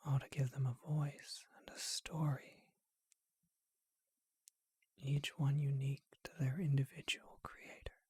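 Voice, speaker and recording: A soft, very breathy vampire acted out by a performer for an ASMR roleplay, courteous and bookish. The words come slowly in a dark, intimate whisper, close and dry. The manner is refined and musing, as befits someone ancient and curious. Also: medium pitch, no echo. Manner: refined, formal wording, unhurried and musing.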